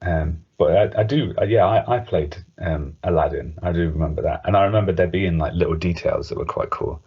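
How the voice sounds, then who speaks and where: A man's voice: low voice, a little fast, breathy edge. A man in his thirties chatting casually as a podcast co-host, in a loose, hesitant conversational way. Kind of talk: casual chat. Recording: dull lo-fi podcast audio.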